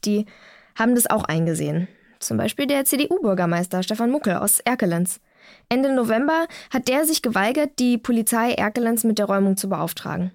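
Woman playing earnest, higher-voiced young climate activist. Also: no room sound, studio quality.

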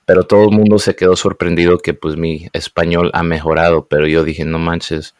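A young man raised in the United States, speaking casually and often pausing to search for words. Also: low-pitched, little pitch movement, a little mellow, dry recording, studio quality. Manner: hesitant, searches for words, mixes in English. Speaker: guest. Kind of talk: casual conversation.

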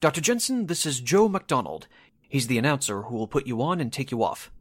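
Man playing a genial, animated radio station man. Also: medium pitch, brisk, no echo. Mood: genial, businesslike. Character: brisk, genial radio station host showing guests around. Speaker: actor in an amateur radio drama re-creation. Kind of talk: 1940s radio acting.

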